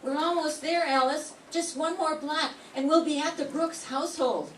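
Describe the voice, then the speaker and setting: A woman's voice: high-pitched, normal speed, close to an everyday voice. An older woman in a class radio-play reading, playing a chatty, cheerful young wife who works as a shop girl. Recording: roomy classroom, far from mic, faint hiss.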